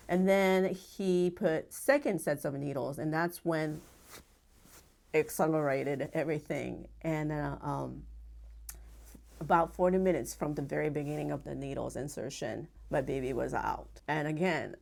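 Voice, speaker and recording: A middle-aged woman from Japan with a Japanese accent, a pediatric acupuncturist telling her story in a measured, earnest way with frequent pauses. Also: low-pitched, vocal fry, darkish tone. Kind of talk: personal story told to camera.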